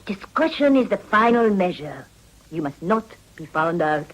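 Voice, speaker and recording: An actress playing a worldly, coolly pragmatic woman, deliberate and sophisticated in manner. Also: fairly low pitch, very animated, poor audio. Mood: knowing. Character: worldly, pragmatic French-speaking mistress, coolly discreet woman.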